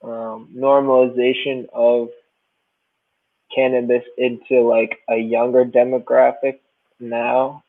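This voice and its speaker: A man in his twenties asks questions slowly and haltingly, leaning on "like" as he gropes for words. His voice stays flat in pitch. The recording sounds thin and tinny, like a phone line. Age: 20s. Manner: halting, lots of "like". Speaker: podcast co-host. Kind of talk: casual chat.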